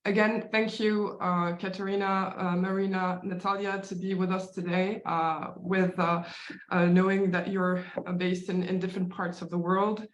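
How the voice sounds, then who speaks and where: A woman's voice: lowish voice, little pitch movement, moderate pace, lo-fi sound. A woman moderating a conference interview, speaking hesitantly and often repeating words as she puts her questions. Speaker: host, moderator. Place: virtual conference call in an echoey room. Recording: roomy, echoing sound.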